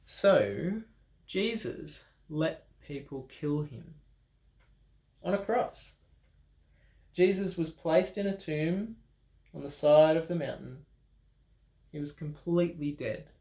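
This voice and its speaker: A man reading a children's Bible story aloud in an animated way, with dramatic pauses, expressive emphasis and playful asides. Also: on the high side. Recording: roomy, echoing room sound.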